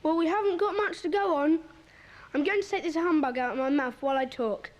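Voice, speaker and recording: A woman's voice: high voice, fairly quick, lightly acted. A woman voicing an earnest, take-charge schoolboy who leads a children's club in an audio drama. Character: sensible bossy schoolboy, leader of a children's club.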